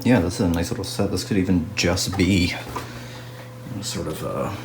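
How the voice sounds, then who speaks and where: Man, very low voice, casual hobbyist narration, unhurried, thinking out loud, clearly noisy home recording. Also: fairly even intonation. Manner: unhurried, musing aloud to himself. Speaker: hobbyist radio restorer. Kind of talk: casual hands-on restoration video narration.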